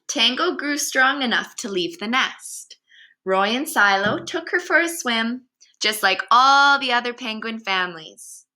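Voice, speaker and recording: A woman on a school staff reading a children's picture book aloud in an expressive, dramatic way. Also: on the high side. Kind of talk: children's storybook read-aloud.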